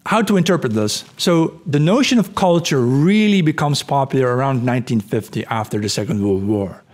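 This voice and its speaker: A middle-aged man hosting a podcast, speaking from a home room into a studio mic, hoarse and clearing his throat, thinking aloud with fillers. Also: mid-range voice, expressive, a little fast, a little mellow. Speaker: podcast host. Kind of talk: casual explanatory talk over screen share. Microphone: studio mic on boom arm.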